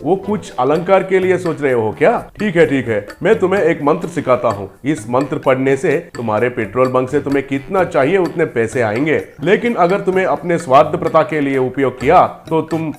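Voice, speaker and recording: A man's voice: on the high side, expressive, brisk, hardly any pauses, faint music behind, lightly acted. A man voicing a kindly, solemn holy man in a cartoon story, instructing and admonishing.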